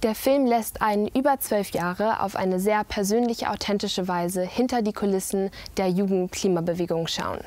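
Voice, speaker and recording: A young woman in her twenties speaks to camera from a cinema seat row. She tells about a documentary in an earnest, informative way, at a middle pitch. A lapel mic picks her up cleanly. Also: normal speed, hardly any pauses, a little mellow. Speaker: youth theatre performer presenting a film. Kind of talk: film summary to camera. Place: empty cinema auditorium.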